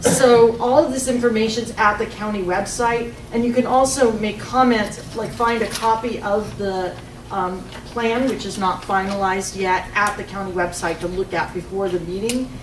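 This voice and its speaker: A woman who is a teacher giving an informative public comment in a loud teacher voice, with many ums. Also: mid-range voice, fairly even intonation, moderate pace. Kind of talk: public comment at a board meeting. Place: township hall meeting room. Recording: echoey room from a far mic, noisy.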